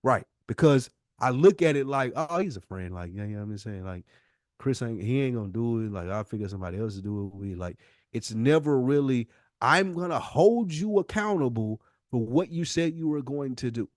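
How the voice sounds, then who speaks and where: A man's voice: medium pitch, animated, fairly quick, no room sound, studio quality. An American man co-hosting a podcast in a candid heart-to-heart, speaking earnestly and emphatically and repeating himself to make his point. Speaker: podcast co-host.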